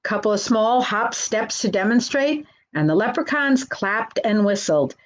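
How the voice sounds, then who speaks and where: A woman reading a picture book aloud as a children's librarian, pausing to point out the pictures. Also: a little high, expressive. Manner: unhurried, pauses to point out pictures. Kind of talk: picture book storytime narration.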